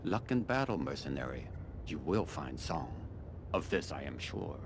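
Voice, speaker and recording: An actor playing a cold, calculating colonel in a video game, speaking in a measured, formal, condescending way. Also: male voice, very deep voice, sing-song, gravelly, background noise, full character voice. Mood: grandly satisfied. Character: cold, calculating middle-aged Chinese army colonel, male. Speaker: military commander giving mission briefings. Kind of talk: video game mission briefing dialogue.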